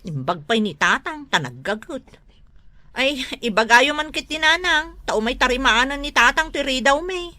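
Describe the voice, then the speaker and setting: A woman's voice: high voice, sing-song, moderate pace, exaggerated voice. A woman acting a strict, scolding village wife in an Ilocano comedy drama, with an Ilocano accent. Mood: complaining. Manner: scolding, forceful.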